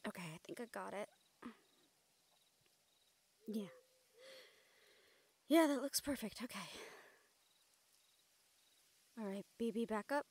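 A woman playing an excitable, caring young mage in an intimate audio roleplay, with animated, halting delivery. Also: fairly high pitch. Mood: eager, nervous. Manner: animated, halting, swearing freely.

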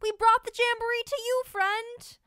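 A woman voicing a merry, boisterous male party-bus driver creature in a storybook, shouting exuberantly. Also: very high-pitched, little pitch movement, moderate pace, dry recording, studio quality, pitched above the performer's own voice.

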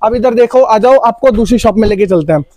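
A man running a shop, giving a repetitive sales pitch as he shows off his goods, recorded in a crowded cosmetics shop on a nearby phone camera. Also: fast talker, warm tone, no room sound. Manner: repetitive selling patter. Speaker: shopkeeper. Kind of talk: sales pitch showing products.

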